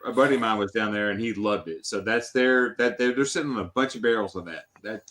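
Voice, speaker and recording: A man's voice: lowish voice, quick pace, fairly bright tone. A man from Tennessee chatting on a whiskey live stream, insistent, repeating himself and stumbling on his starts. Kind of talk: casual chat.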